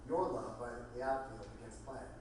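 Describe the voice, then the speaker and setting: A man's voice: mid-range voice, normal speed. A man narrating screenplay directions from a script at a table read. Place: echoey room, table read. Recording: very echoey room, distant mic, noisy.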